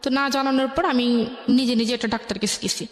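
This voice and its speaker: A young adult woman with a Bangladeshi accent, telling her life story as an interview guest in a halting, rambling way. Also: a little high, steady tone. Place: echoey indoor radio studio. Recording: echoey room with long reverb.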